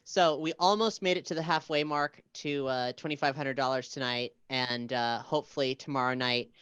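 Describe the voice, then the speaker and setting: Podcast host, mid-pitched, smooth clear voice, informal and halting sign-off patter. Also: fairly even intonation, fairly bright tone, no echo. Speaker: host of an audio drama podcast. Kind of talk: casual livestream sign-off and announcements.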